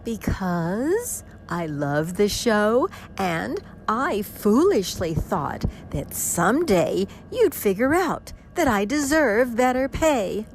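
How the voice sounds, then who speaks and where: A woman's voice: medium pitch, sing-song, slow pace, few pauses, slight background noise. A woman playing a wronged, vengeful stage magician's partner with secret powers in a radio mystery drama, theatrical and aggrieved. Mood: hurt, indignant.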